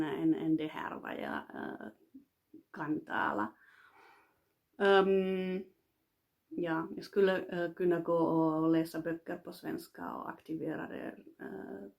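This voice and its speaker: A Finnish-accented woman, a native Finnish speaker, talks unscripted to the camera as a polyglot vlogger. Her voice sits low with a gravelly fry running through it. She pauses often, feeling her way toward each next word. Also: a little mellow. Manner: hesitant and thoughtful, searching for words. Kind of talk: casual monologue, speaking off the cuff.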